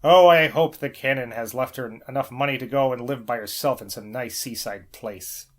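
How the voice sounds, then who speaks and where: A man's voice: mid-range voice, moderate pace, lightly acted. A man reading the private thoughts of a fretful, fussy housekeeping woman in a novel, wistful as she mutters to herself.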